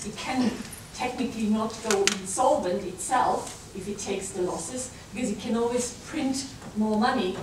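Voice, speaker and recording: German-accented woman lecturing in an echoey hall. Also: mid-range voice. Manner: hesitant, many fillers and restarts. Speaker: guest lecturer. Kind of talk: academic talk to students. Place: large lecture room. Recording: echoey lecture hall from far off, background noise.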